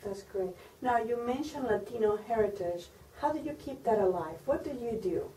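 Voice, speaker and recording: A woman's voice: on the high side, lively intonation, moderate pace, warm tone, a little hiss or hum. A woman hosting an interview, asking brief, direct questions. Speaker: interviewer, host. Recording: echoey room, mic at a distance.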